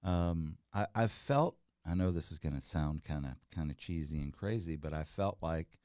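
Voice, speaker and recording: Deep-voiced earnest man on a call. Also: expressive, normal speed, darkish tone, no echo, clean studio sound. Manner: earnest, rambling, many fillers and restarts. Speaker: guest, entrepreneur. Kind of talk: casual interview chat.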